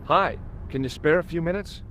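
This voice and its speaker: A man's voice: on the high side, moderate pace, a bit bright, a little hiss or hum, close to an everyday voice. An actor playing an earnest, persistent young American man in a video game, with a dryly sardonic manner. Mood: inquisitive. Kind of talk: video game dialogue acting.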